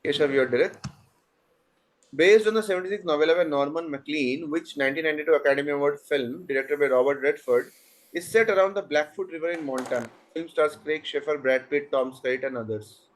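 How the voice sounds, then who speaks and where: A man's voice: on the high side, slight background noise, low-quality recording. A man, reading quiz questions over a video call and chatting with the players between them. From India. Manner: reads questions briskly, then chats loosely with players. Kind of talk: online quiz league, reading clues and chatting.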